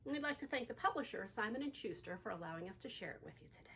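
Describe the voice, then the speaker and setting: A woman reading a picture book aloud for young children, with expressive, deliberate phrasing, as a library storytime reader. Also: on the high side, background noise.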